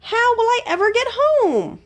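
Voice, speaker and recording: An excitable little boy mouse from a storybook, acted out by a woman. The voice sits high and swings up and down, anxious and plaintive, full of exclamations. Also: measured pace, heavily acted. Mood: panicked. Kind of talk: children's storybook character voice.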